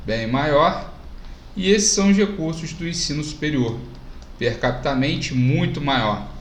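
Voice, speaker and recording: Male teacher from Brazil, lively casual explainer, slightly high voice, echoey home room. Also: a little hiss or hum. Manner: casual, animated explaining, pointing at a chart. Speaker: economics teacher. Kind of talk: lecture to camera over charts.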